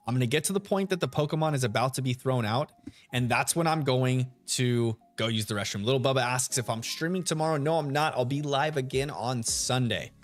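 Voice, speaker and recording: Man, streamer, laid-back, chatty, radio-style voice, faint music behind. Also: medium pitch. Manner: laid-back, chatty, greets chat members by name. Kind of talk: live stream chat while shiny hunting. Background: soft lo-fi music.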